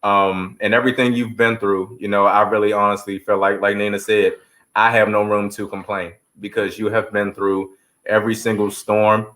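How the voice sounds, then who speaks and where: A man's voice: lowish voice, little pitch movement, normal speed, a bit bright. A man giving heartfelt interview answers as a stage actor, telling stories earnestly and quoting scripture like a preacher.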